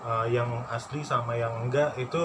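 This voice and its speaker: A man chatting casually on a homemade vlog, playful and teasing, laughing easily as he asks a friend questions. His voice sits at an ordinary middle pitch, and a steady noise runs behind him throughout. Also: normal speed. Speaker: vlog host interviewing a friend. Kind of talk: casual chat. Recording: noisy background.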